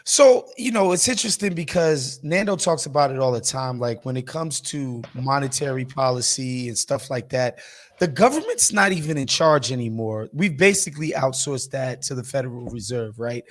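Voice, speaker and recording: A man's voice: mid-range voice, lively intonation, moderate pace. A man hosting a podcast in a casual, slangy, conversational way. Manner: informal, slangy, some swearing. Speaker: podcast host.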